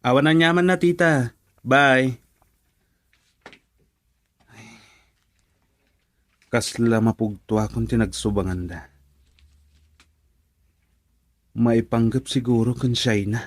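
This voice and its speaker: A man's voice: mid-range voice, normal speed, close to an everyday voice. A young man with an Ilocano accent playing an easygoing, caring friend in a radio drama, polite and thinking aloud.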